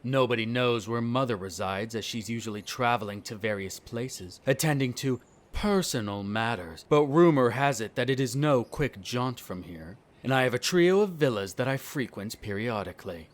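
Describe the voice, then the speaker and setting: A man acts out a haughty vampire aristocrat in an audio roleplay. His voice sits at a middle male pitch, and his words are formal, sardonic and full of disdain for humans. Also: expressive, moderate pace, lightly acted. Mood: suspicious, explaining. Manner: condescending, sardonic, formal wording. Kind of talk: scripted roleplay audio drama.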